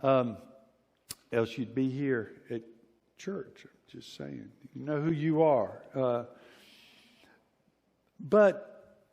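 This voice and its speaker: A man's voice: medium pitch, extremely slow, creaky, long pauses, a little mellow. A middle-aged American man with a Southern accent preaches a conversational sermon in a folksy, informal way, repeating phrases for emphasis. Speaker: pastor preaching. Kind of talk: conversational sermon with personal anecdotes. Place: church hall, preaching to a congregation. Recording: reverberant large hall.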